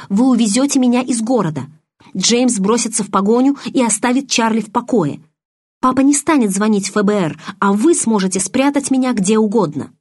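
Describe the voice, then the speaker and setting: A woman's voice: a little high, darkish tone, pitched above the performer's own voice, close to an everyday voice. A woman reading an audiobook, voicing a frightened, furious and stubborn teenage girl who pleads insistently.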